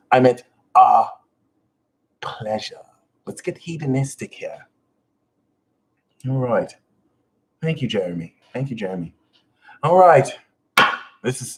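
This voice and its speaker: A man's voice: medium pitch, poor audio. Grandiose man hosting a mock astrology ritual. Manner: grandiose, theatrical, swooping and dropping to hushed tones; repeats words and phrases, stumbling restarts. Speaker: self-styled astrologer host. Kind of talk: parody spiritual guidance video.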